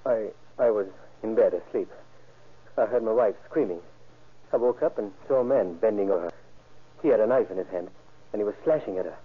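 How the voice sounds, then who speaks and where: An actor playing a shaken, wounded husband in an old-time radio crime drama, speaking haltingly and earnestly. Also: male voice, lowish voice, slightly rough. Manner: halting, earnest witness account. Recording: muffled, band-limited vintage radio recording.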